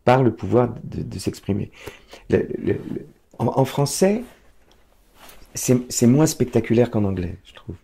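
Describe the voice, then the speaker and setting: A man's voice: mid-range voice, animated, a little slow, gravelly, pauses often, mellow, a little hiss or hum. A man conducting a conversational interview, explaining ideas expressively with examples.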